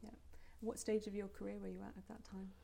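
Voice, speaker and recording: Quick gravelly woman interviewer. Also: mid-range voice, flat delivery, dark tone. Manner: brief, prompting questions. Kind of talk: oral history interview.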